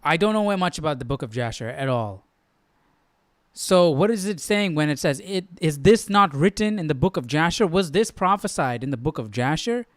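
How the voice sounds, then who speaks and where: A man's voice: on the high side, animated, normal speed, dry recording, clean studio sound. A man hosting a live Bible study, thinking aloud in a conversational, questioning way. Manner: conversational, questioning, repeats himself for emphasis.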